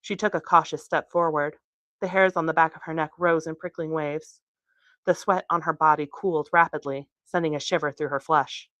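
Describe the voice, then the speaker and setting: A woman reading from a novel aloud as its author, in an expressive storytelling style. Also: low-pitched, moderate pace, no room sound. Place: bookstore reading.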